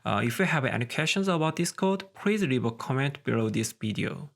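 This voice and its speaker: A man's voice: mid-range voice, expressive, brisk, vocal fry, a bit bright. A man presenting a scripted technical tutorial, explaining things methodically step by step.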